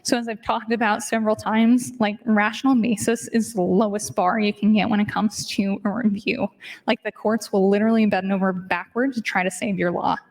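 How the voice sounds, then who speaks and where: A woman's voice: mid-range voice, steady tone, normal speed, a little mellow. A woman state legislator giving a speech in floor debate in a legislative chamber. She argues her point, moving between statute readings and casual asides.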